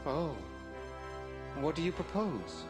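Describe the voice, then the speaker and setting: A woman playing a naive, earnest seventeen-year-old weaver boy in a fantasy video game, with a wondering, halting manner. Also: low voice, sing-song, music in the background, full character voice. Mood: curious. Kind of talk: scripted video game voice acting.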